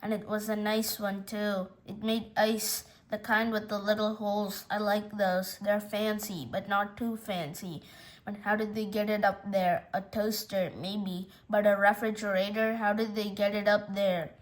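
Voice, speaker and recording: A woman's voice: mid-range voice, flat delivery, a little slow, close to an everyday voice. A woman voicing a goofy, easygoing middle-aged uncle who rambles in a folksy way, in a storybook reading. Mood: baffled, muttering.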